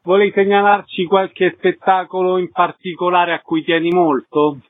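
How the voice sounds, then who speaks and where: A man's voice: high voice, level tone, a little slow. A man hosting a radio interview, introducing guests and putting questions to them. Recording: narrow phone-like radio sound.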